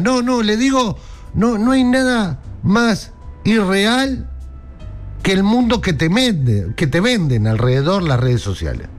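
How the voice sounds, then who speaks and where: A man with an Argentine accent giving a sarcastic political monologue, mocking and laughing at his own jokes while talking straight to the listener. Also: fairly high pitch. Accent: Argentine Rioplatense. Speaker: political commentator.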